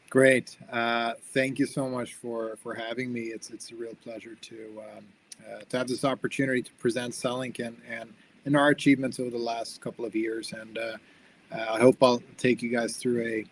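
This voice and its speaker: A young man who grew up in America gives a friendly, upbeat seminar talk over a video call, often restarting and repeating words. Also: medium pitch. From born in Sweden, raised in the United States. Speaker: guest speaker, company founder. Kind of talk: online seminar talk with slides.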